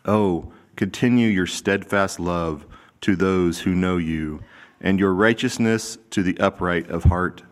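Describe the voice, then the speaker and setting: A man reading a psalm aloud in a church service in a measured, deliberate way. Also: sits low. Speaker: scripture reader. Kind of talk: formal scripture reading.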